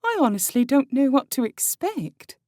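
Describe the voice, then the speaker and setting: A woman voicing a self-assured, appraising woman of a game world in an audiobook, with a dry, commanding and deliberate delivery. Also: high voice, big pitch swings, warm tone, no echo. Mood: dry, incredulous. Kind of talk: audiobook character acting.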